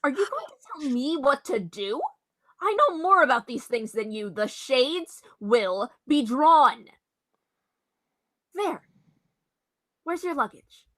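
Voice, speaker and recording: Woman acting pushy know-it-all female hotel attendant, radio comedy, very animated, sharp bright tone, brash and officious. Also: high voice, takes it slowly, long pauses, exaggerated voice. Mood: bossy, scolding. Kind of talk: old-time radio comedy-mystery acting.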